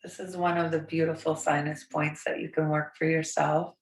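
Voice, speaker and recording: A hoarse woman in her forties with a raspy voice from a cold, gently guiding a yoga class with soft, soothing instructions. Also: low-pitched, flat delivery. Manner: slow, soothing, softly encouraging. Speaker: yoga teacher. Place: bright home studio room with large windows. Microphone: camera across the room. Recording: echoey room, voice from far off.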